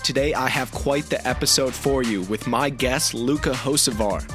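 A man's voice: mid-range voice, normal speed, over music. A man hosting a podcast in a punchy, informal way, moving between promotional intros and casual chat. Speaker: podcast host.

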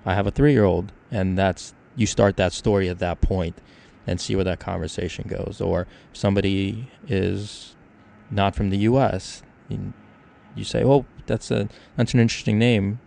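A gravelly, somewhat low-voiced man from Ukraine hosts a casual discussion. He talks things through conversationally and leans on everyday examples to make his points. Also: steady tone, normal speed, dark tone. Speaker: podcast host.

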